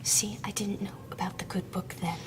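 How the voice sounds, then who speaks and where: An actress playing a naive, guilt-ridden young captive who whispers in a hesitant, childlike, pleading way in an audio drama. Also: female voice, medium pitch, little pitch movement, moderate pace, lightly acted. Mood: anxious, remorseful. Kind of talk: audio drama acting, hushed confiding.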